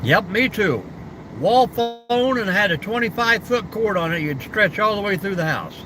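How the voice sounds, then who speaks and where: A man's voice: sits high, lively intonation, moderate pace, background noise. An older man hosting a casual live stream, answering viewer comments in an easygoing, folksy way and reminiscing about the old days.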